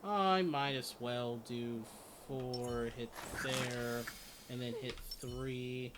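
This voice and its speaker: A man's voice: mid-range voice, fairly even intonation, extremely slow, noisy background. A man hosting a gaming video, giving casual gameplay commentary in an informal, rambling way and correcting himself as he goes. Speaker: gaming channel host.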